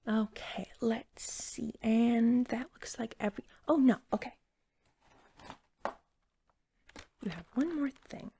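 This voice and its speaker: Soft, creaky-voiced, eager shop cashier played by a woman. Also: a little high, unhurried. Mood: softly attentive. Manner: chatty, gentle, rambling helpfulness. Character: eager, friendly female cashier at magical pet store. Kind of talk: soft-spoken ASMR roleplay, often whispering.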